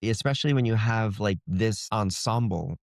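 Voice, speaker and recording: A man's voice: fairly low pitch, normal speed, gravelly, no room sound, studio quality. A man being interviewed as an author, explaining things in an informal, loosely phrased, conversational way.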